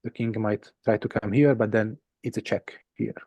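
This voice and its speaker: Male game teacher, native Hungarian with a Hungarian accent, relaxed mellow tone, dry close sound, patient step-by-step delivery. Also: lowish voice, moderate pace, vocal fry, no room sound. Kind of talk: informal explanation of puzzles.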